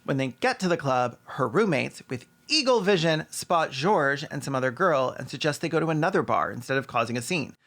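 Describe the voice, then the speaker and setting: A man's voice: fairly high pitch, expressive, fairly quick, few pauses, fairly bright tone. A man delivering sarcastic recap commentary as a video host, mocking what he describes and acting out bits of dialogue. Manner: sardonic, mocking, acts out dialogue.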